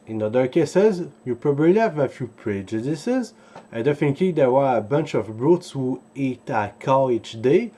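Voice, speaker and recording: Male history host, English. Soft-edged, rough-grained voice, halting informal delivery. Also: medium pitch. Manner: halting, searching for words, digressive. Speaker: host of a history video series. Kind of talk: informal explainer with live translation from a book.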